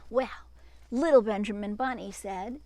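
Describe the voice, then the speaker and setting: A woman's voice: medium pitch, unhurried, dark tone. A woman reading a children's storybook aloud expressively, breaking off for chatty asides to young listeners.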